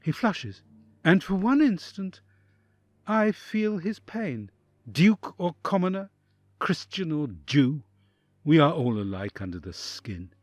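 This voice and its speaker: Man playing fearful, troubled envoy, theatrical interior monologue, reflective, intense, highly varied intonation, dry studio-like sound, audio drama. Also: very animated, takes it slowly, dry recording. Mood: pitying. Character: troubled male envoy, sympathetic, fearful, inwardly agonised listener.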